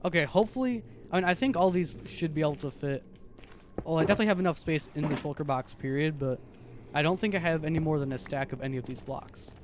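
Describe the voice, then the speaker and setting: A man with a very high voice giving casual, chatty live stream commentary as he plays a video game. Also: fast, background noise. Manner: chatty, self-narrating while playing, easily animated.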